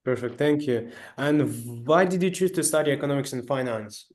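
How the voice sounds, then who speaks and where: A man's voice: mid-range voice, moderate pace, darkish tone. A man interviewing a guest, thanking her briefly and asking short, polite questions.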